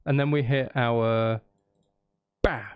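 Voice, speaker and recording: A man's voice: medium pitch, fairly even intonation, slow, clear, resonant tone, no echo, muffled recording. A man chatting casually on a music-making livestream, thinking aloud in a hesitant, self-interrupting and apologetic way. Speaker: streamer making music live. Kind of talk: casual livestream chat while recording drums. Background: drum kit and music.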